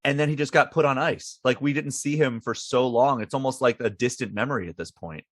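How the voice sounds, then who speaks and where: A man's voice: medium pitch, fairly quick, no echo, studio quality. A man co-hosting a podcast in an easygoing, self-deprecating way. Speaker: podcast co-host. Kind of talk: casual sports chat.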